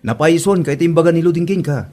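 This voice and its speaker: A man's voice: fairly high pitch, quick pace, loud music behind the voice, lightly acted. A man playing an exasperated village husband scolding his wife in a comedy drama, with an Ilocano accent.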